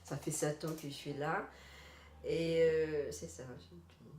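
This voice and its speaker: A woman's voice: low-pitched, steady tone, slow pace, darkish tone, a little hiss or hum. A woman with a Moroccan accent speaks casually in an interview, telling her personal story. Her voice rings in an echoey room. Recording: echoing room.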